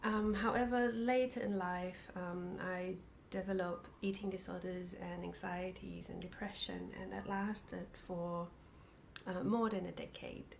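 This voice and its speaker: A middle-aged woman from Thailand and New Zealand, telling her life story as an interview guest in a halting, reflective, breathy way on a video call. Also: fairly low pitch, fairly even intonation. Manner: halting, reflective, phrases broken by pauses. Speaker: interview guest, spiritual practitioner. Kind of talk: personal life story in conversation. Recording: video call with faint background noise.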